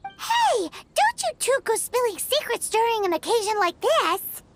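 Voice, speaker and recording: A woman voicing a cheeky, excitable little fairy companion girl in a video game, with a squeaky childlike voice. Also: unhurried, background noise, full character voice. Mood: indignant. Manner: exclamatory, cheeky. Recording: loud music behind the voice.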